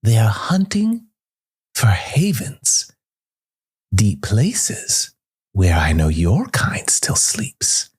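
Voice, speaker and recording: A man voicing a small, clever furred woodland creature in a storybook tale, slow and hushed, warning cryptically in a breathy rasp. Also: lowish voice, huge pitch swings, whispered, voice pushed up, heavily acted. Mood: hushed, ominous.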